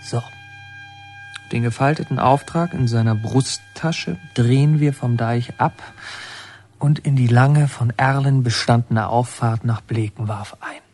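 An actor playing a brooding young inmate who narrates his memories in a radio play, halting, reflective and poetic. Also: mid-range voice, darkish tone.

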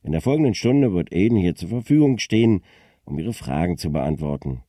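An actor playing a formal, composed radio phone-in host who prompts and probes, in a radio drama. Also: male voice, low voice, animated, a little fast, dark tone, no room sound, studio quality. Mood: composed, pointed. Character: smooth, probing local radio phone-in host.

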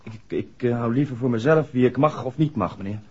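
A male actor plays a young mechanic in a 1960s radio drama, speaking Dutch-accented, deferential lines with some reticence. His Netherlands voice is mid-pitched and somewhat rough, heard on a dull vintage recording. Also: brisk, mellow, close to an everyday voice. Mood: guarded, wary. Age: young adult. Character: polite, guarded young male garage mechanic. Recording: muffled old radio recording.

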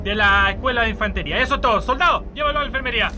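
An actor playing a cold, authoritarian army colonel, giving formal declamatory addresses in a radio drama. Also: male voice, extremely high pitch, animated, rapid-fire, bright, forceful tone, a little hiss or hum, full character voice. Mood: cold, official.

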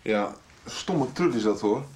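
A man's voice: lowish voice, animated, slow, darkish tone. An actor playing a cheeky, teasing grown son who pesters his mother, a young man in a Dutch radio soap. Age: young adult. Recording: roomy, echoey sound.

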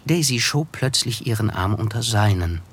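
An actor narrating a literary radio play as an observant, wistful friend, in a measured, contemplative manner. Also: male voice, lowish voice, animated, a little hiss or hum, close to an everyday voice. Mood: reflective.

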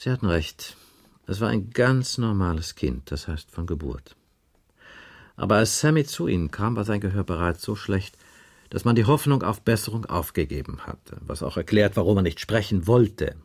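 Gravelly, world-weary police inspector. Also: male voice, fairly low pitch, animated, normal speed, darkish tone. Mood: matter-of-fact. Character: world-weary, blunt police inspector explaining a murder case. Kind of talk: 1980s German radio crime drama acting.

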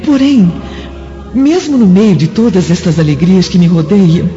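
An actress playing a gentle, devoted female spirit in a radio drama, speaking solemnly and with formal emotion. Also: fairly low pitch, a little slow, lightly acted. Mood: tender pleading. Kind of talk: dramatic radio play acting. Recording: dull, muffled radio drama with faint music.

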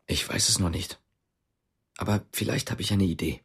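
A reserved man of few words in a radio play, with a low voice. He answers tersely and hesitantly, with noticeable noise and clicks behind him. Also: normal speed, vocal fry, fairly bright tone. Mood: uneasy, subdued. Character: reserved, laconic East German man hiding a fugitive woman. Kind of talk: naturalistic radio drama dialogue.

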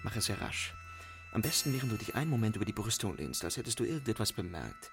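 A man acting a cool, distrustful 1920s con man in a radio play, speaking in terse, deliberate phrases. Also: lowish voice, sing-song, gravelly, over music. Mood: hushed commanding.

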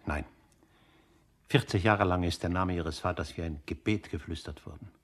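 Husky, deep-voiced fervent believer. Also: male voice, normal speed. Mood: reverent, fervent. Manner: solemn, fervent. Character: fervent male underground believer revering a martyr. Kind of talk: radio drama acting.

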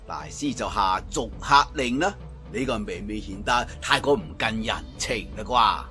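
A man's voice: fairly low pitch, expressive, moderate pace, pushed and bright, soft background music, pitched below the performer's own voice. A man with a Cantonese accent voicing an arrogant, mocking martial arts master in a storybook, taunting and imperious. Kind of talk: storybook character voice.

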